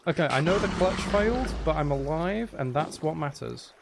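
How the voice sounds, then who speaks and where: A man doing live, casual video game commentary as a streamer, animated and given to exclaiming and playful complaints. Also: a little high, moderate pace, vocal fry, noisy background.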